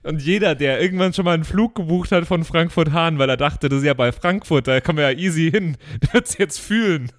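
A man improvising casually as a player in a pen-and-paper role-playing show, joking in an animated way. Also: fairly high pitch, fairly quick, hardly any pauses, no room sound, studio quality. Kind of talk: improvised casual table talk in character.

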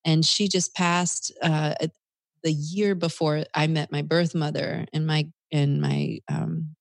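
A woman's voice: low voice, animated, slow pace, dry recording. A woman from the United States telling her personal story reflectively as an interview guest. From United States, South Carolina.

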